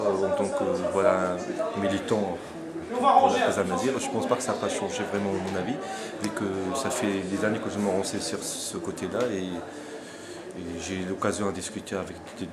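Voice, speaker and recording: A man in his forties from Morocco, giving his view in an interview, hesitating and restarting his phrases while insisting on finishing his point. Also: low voice. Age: 40s. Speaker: interviewee, conference attendee. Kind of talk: spontaneous debate interview. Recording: crowd noise behind.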